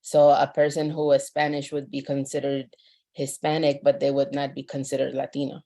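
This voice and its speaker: A woman from Mexico on a webinar panel, explaining terms in a measured way with many fillers. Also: very deep voice, completely flat delivery, mellow.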